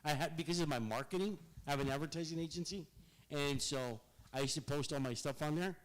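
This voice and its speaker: A man, giving a loud, rambling pitch to a city board with many fillers and restarts. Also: fast, low-quality recording. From Rio Grande Valley, Texas. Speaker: business presenter at a board meeting. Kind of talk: public comment speech. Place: city board meeting room.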